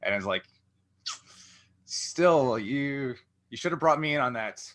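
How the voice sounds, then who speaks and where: A man with a strikingly bright, forward voice hosts a casual interview. His pitch sits in the middle for a man, and he rambles a little, thinking aloud and restarting his sentences. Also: expressive, takes it slowly. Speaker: interviewer, show host.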